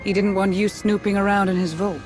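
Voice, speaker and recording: A level-headed Sith ally, voiced by a woman, speaking calmly and matter-of-factly at a mid pitch. A very loud orchestral game score swells underneath her. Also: a little fast, music in the background, lightly acted. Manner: calm, matter-of-fact. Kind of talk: video game cutscene acting.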